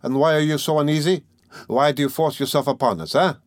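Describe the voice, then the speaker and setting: A man's voice: a little high, quick pace, no room sound, studio quality, pitched above the performer's own voice, lightly acted. A man voicing a sly, falsely jovial middle-aged examining lawyer in an audiobook, teasing and ironical. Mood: playful, teasing. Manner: teasing, chuckling, wheedling, ironical. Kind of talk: dramatic audiobook character reading.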